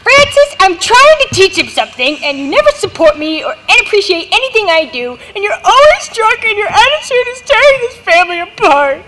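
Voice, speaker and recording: A woman's voice: sing-song, a little fast, few pauses, low-quality recording. A young woman puppeteer voicing a fussy, churchy mother who nags and shrieks in a live puppet comedy. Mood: nagging. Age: young adult. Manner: squeaky, nagging, panicky shrieks. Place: gallery, live performance.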